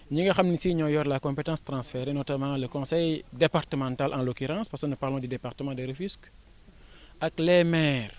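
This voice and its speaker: A man with a Senegalese accent, an education official giving a formal, measured interview statement with frequent pauses and fillers. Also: on the high side, a little mellow.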